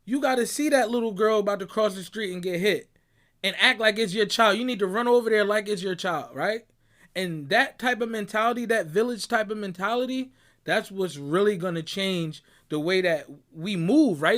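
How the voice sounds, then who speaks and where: A young man from Barbados with a notably high voice delivers a motivational monologue. He talks in an emphatic, preachy way, tagging his points with "right?" and "bruh" as he drives them home. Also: moderate pace, fairly bright tone. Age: young adult. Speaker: motivational speaker. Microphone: audio clip recording.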